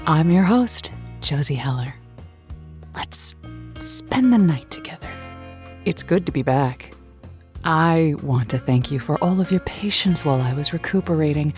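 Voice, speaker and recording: A sultry late-night radio host. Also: female voice, low-pitched, expressive, a little mellow, soft background music. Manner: slow sultry on-air delivery, wry. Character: wry sultry woman advice show host.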